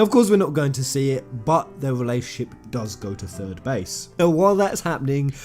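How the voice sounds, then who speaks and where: A man's voice: mid-range voice, darkish tone. A man speaking informally and enthusiastically as a video game commentator, stressing and repeating phrases as he retells a story to viewers. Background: background music.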